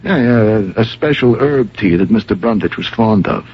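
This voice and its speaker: An actor playing an anxious, deferential theater stage manager in a radio drama, stammering nervously in a husky voice. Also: male voice, fairly low pitch, lively intonation, moderate pace. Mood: uneasy, eager. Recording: muffled old radio broadcast.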